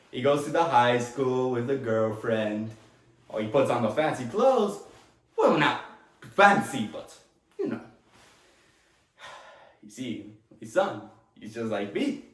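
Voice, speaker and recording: A man performing a dramatic monologue as a talkative, tender immigrant father with a Latin American Spanish accent, rambling haltingly with small chuckles. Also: mid-range voice, animated. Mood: fond, proud. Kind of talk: dramatic monologue, stage acting. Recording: echoey room.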